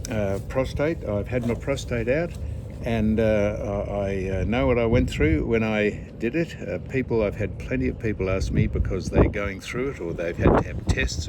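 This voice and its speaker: Halting older man on a boat. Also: fairly low pitch, noisy background. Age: 70s. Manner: rambling, halting, with many fillers and restarts, dry joking. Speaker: vlog host. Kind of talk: casual interview chat. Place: outdoors on a boat.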